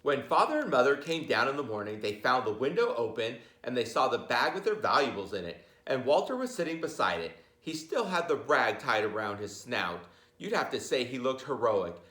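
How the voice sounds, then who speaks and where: A man reads a picture book to children with a very lively, swinging pitch. He is informal and jokey, moving between storytelling and chatty asides. The room around him is noticeably echoey. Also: normal speed. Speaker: teacher reading a picture book aloud. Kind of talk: animated children's read-aloud with chatty asides.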